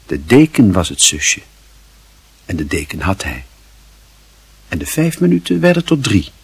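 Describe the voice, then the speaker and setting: A man narrating a literary radio drama in a solemn, measured and expressive manner. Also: low voice, creaky, husky, heavily acted.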